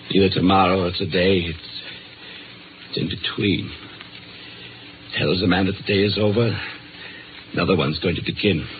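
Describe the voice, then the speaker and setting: An actor playing a shaken, punctilious American man in a 1950s radio drama, halting and theatrical. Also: sits low. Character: shaken doomed male patient, punctilious and obsessed with time. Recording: tinny, noisy old radio recording.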